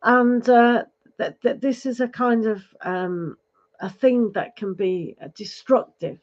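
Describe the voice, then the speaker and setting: A woman giving a live tarot reading, advising gently with many fillers, her voice going hoarse. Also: a little high, steady tone, takes it slowly, highs cut off. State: losing her voice, clearing throat. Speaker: tarot reader.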